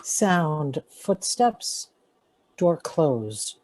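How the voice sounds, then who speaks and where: Animated woman reading sound cues. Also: low voice, drawn-out words, no room sound. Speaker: sound effects reader. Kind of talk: announcing scripted sound cues.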